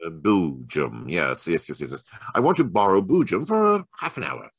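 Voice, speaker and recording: Low, swooping radio detective, acted by a man. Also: sing-song, husky, no room sound, heavily acted. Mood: hesitant, coaxing. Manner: halting, stammering, then deliberate and formal. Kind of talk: old-time radio mystery acting. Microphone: home microphone on a video call.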